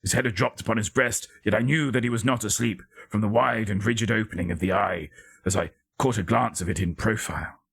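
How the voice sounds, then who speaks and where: Male narrator for gothic fiction: raspy, forceful, theatrical, with measured and deliberate phrasing. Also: lowish voice. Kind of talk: dramatic scripted gothic story reading.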